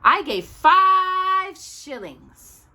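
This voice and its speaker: A man's voice: very high voice, big pitch swings, extremely slow, sharp tone, pitched above the performer's own voice, full character voice. A woman voicing a proud, kindly older male shopkeeper with a secretive streak in a children's storybook. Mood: proud, delighted.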